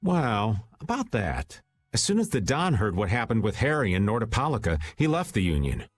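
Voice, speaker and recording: A man's voice: lowish voice, very animated, normal speed, breathy edge. An actor playing a laid-back, wily older man in a video game, talking in a casual, folksy, theatrical way. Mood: sheepish, casual. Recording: music under the voice. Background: game background music.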